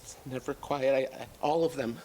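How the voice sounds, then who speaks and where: A man in a staged play reading, playing a troubled, evasive brother who hears voices, speaking in a halting, repetitive, disjointed way. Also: mid-range voice, warm tone, slight background noise, lightly acted. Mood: agitated, distracted.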